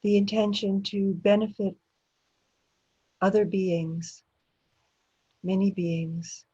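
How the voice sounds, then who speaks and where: A woman meditation teacher leading a guided meditation in gentle short phrases with long pauses between them. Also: medium pitch, steady tone, husky. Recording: dull, muffled lo-fi audio.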